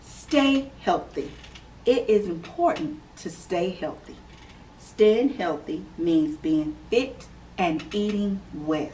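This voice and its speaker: A woman teacher reads a picture book to little children, unhurried and clear, with a sing-song lilt. Her voice sits a touch low for a woman, and she is picked up by a camera mic a few feet away in a classroom with faint room hum. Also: animated, dark tone, distant mic, rough recording. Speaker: preschool teacher reading aloud. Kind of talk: children's read-aloud storytime. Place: classroom indoors.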